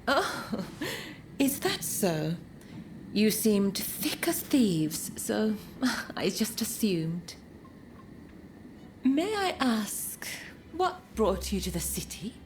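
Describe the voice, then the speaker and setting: An actress playing a refined, knowing hostess of a pleasure district in a video game, speaking politely and teasingly. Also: female voice, medium pitch, sing-song, drawn-out words, a little hiss or hum. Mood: amused, curious. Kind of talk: video game cutscene acting.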